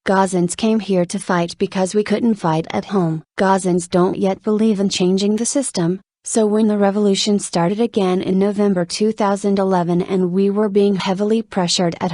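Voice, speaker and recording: A woman reading an interview transcript aloud in an even, measured delivery. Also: mid-range voice, no echo, clean studio sound. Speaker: reader of an interview article.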